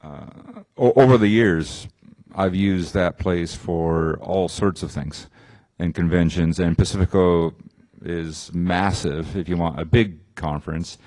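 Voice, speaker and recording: A man speaking as a panelist in a conference discussion, relaxed and conversational, with a few restarts. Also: low-pitched, a little slow, no echo. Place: conference venue.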